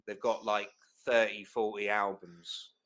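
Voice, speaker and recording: A man's voice: lowish voice, flat delivery, unhurried, fairly bright tone. A young man hosting a casual film podcast, talking in an enthusiastic, rambling way with lots of fillers and restarts. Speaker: podcast host. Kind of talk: casual chat.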